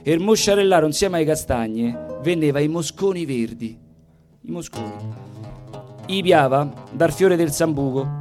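Casting: male stage storyteller, Roman accent, soft dark tone, slightly high voice, folksy comic asides, music behind. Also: expressive, unhurried. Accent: Roman Italian. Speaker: stage performer, storyteller and singer. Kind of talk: folksy live comic monologue in dialect.

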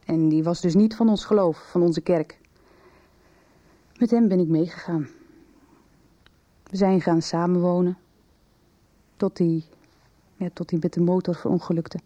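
An actress playing a female teacher in a naturalistic radio drama, confiding gravely with a hesitant, measured, understated delivery. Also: lowish voice, steady tone, dark tone, lightly acted. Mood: subdued, confiding.

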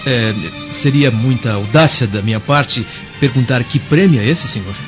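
A man's voice: medium pitch, moderate pace, natural voice. A man playing a respectful, eager slave of a Roman household in a radio drama. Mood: deferential, eager. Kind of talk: radio drama acting in Portuguese. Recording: muffled radio drama under loud music.

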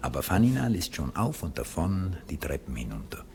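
An actor serving as the calm narrator of a 1960s radio drama, reading scripted stage directions. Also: male voice, sits low, brisk, gravelly, heavily acted. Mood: calm narration. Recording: 1960s radio recording, faint hiss.

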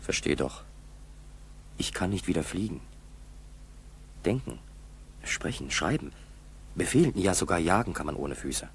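An actor playing a despairing, bitter wounded pilot in a radio play, insistent and very husky. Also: male voice, sits low, big pitch swings, a little slow, long pauses, heavily acted. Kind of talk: 1960s German radio play acting.

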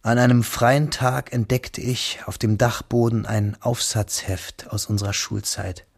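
An actor playing a grieving young schoolteacher who narrates his memories in a slow, hushed, sorrowful way for a radio drama. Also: medium pitch, fairly even intonation, no room sound, clean studio sound. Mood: reflective, sober. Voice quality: husky, breathy, gravelly.

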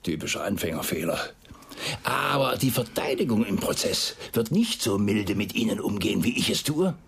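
A patronising police chief in a radio crime drama. His voice is rough and very husky with a creaky edge, and his pitch moves freely as he lectures with cutting, rhetorical condescension. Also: big pitch swings, normal speed, exaggerated voice. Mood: cutting, dismissive. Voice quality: husky, gravelly. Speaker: head of a police investigation unit.

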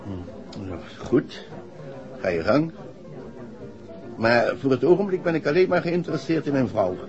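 An actor playing a brusque, proud, suspicious husband, speaking curtly in a 1960s radio drama. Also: male voice, medium pitch, very animated, measured pace, a little mellow. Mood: cool, irritated. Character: brusque, proud male husband suspecting his wife. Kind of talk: 1960s radio play acting. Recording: dull old radio recording with music.

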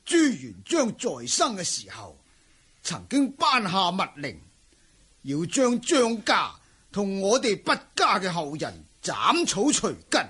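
A man's voice: high voice, huge pitch swings, takes it slowly, sharp tone, breathy edge, heavily acted. A male actor playing a proud, troubled martial-arts manor master in a Cantonese wuxia radio drama, with emphatic, sighing delivery. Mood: solemn.

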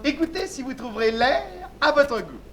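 A man's voice: very high voice, expressive, moderate pace, fairly bright tone, a little hiss or hum. An actor playing a vain, foppish nobleman on stage, affected and boastful in classical comedy style. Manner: pompous, affected, preening. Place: theater stage before a live audience.

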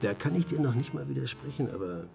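An actor playing a gruff, blunt, rumpled investigator in a German radio drama, talking colloquially. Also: male voice, medium pitch, animated, fast talker, mellow. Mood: subdued.

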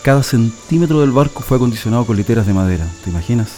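A man's voice: medium pitch, expressive, rapid-fire, mellow, soft background music, natural voice. An actor playing a proud, nostalgic political prisoner in a radio drama, telling his story reflectively with a Chilean accent. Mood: earnest, reflective. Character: male political prisoner, proud nostalgic communist militant, immigrant's son.

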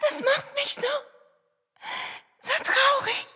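A woman's voice: very high-pitched, level tone, slow pace, bright, forceful tone, big-room sound, exaggerated voice. An actress in a radio play voicing a wealthy, dreamy, emotional woman with a languid, swooning, often whispery manner. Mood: overwhelmed, tearful.

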